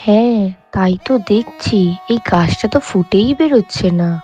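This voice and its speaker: Cartoon elder sister-in-law, female actor: bossy, warm dark tone, muffled audio, faint music. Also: mid-range voice, moderate pace, close to an everyday voice. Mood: curt. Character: bossy eldest daughter-in-law of village family. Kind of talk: cartoon family drama acting.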